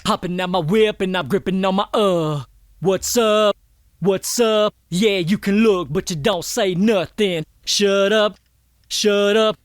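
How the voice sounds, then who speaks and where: High-voiced man rapping a dry vocal take. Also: slow pace, dry recording. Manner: loose, off-the-cuff rap with ad-libs. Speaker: rapper recording vocals.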